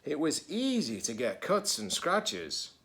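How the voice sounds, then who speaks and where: A man voicing a wistful, anxious storybook egg who recounts his fall in an earnest, deliberate way. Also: fairly high pitch, huge pitch swings, fairly bright tone, voice pushed up, heavily acted. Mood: wistful, determined.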